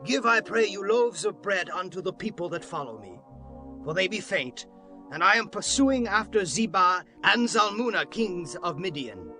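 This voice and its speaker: An actor playing a stern, commanding war leader in a dramatized scripture reading, with declamatory, archaic speech. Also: male voice, high-pitched, very animated, moderate pace, sharp tone, faint music behind, exaggerated voice. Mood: earnest request.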